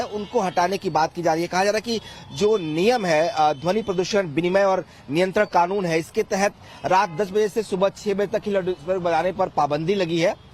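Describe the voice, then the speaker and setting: A man giving a wordy news report on location as a field reporter. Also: high voice. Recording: faint traffic and ambient noise.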